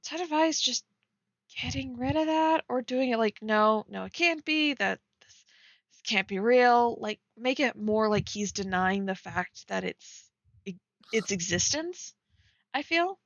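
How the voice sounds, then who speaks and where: Young female co-host, slightly high voice, tentative and rambling casual commentary, dry close recording. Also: lively intonation, unhurried, a bit bright, no room sound. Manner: rambling, tentative, heavy use of "like". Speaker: co-host reading and critiquing fan fiction. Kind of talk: casual chat with read-aloud passages. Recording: dry, slightly dull lo-fi mic.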